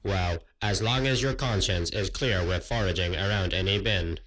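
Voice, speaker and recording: Man playing a low, deadpan, officious robot janitor. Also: slight background noise. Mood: dryly indignant. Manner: formal, deliberate, deadpan. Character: officious male janitor robot with claws, dryly contemptuous. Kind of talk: sci-fi comedy radio play.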